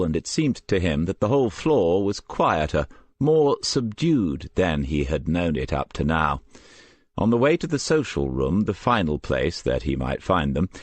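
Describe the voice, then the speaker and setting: A man narrating a crime novel as an audiobook, in a measured and composed storytelling manner. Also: sits low, dry recording. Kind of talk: scripted crime fiction narration.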